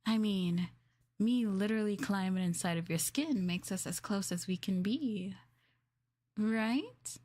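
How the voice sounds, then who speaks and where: A woman voicing a sweet, jealous, easily flustered nonhuman girlfriend in a roleplay audio drama, coy and teasing, with hesitant, expressive delivery. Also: mid-range voice, unhurried, lightly acted. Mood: playful, coaxing. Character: sweet, jealous, flustered boneless creature girlfriend inhabiting her partner.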